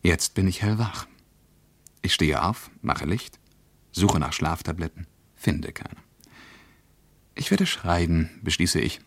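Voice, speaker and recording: Introspective traveller reading his diary for radio drama, very low voice, sober and terse, close dry studio sound. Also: animated, slow pace, vocal fry, pauses often, dry recording, heavily acted. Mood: tense, wakeful. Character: Ernst, brooding, observant German male traveller writing a diary. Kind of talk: radio play diary monologue.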